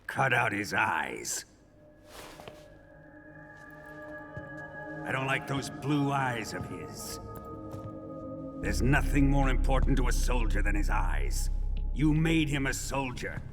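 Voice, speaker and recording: An actor playing a ruthless, sadistic middle-aged Soviet colonel in a video game cutscene, commanding, theatrical and taunting. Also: male voice, mid-range voice. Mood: cruelly deliberate. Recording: echoey hall with music behind.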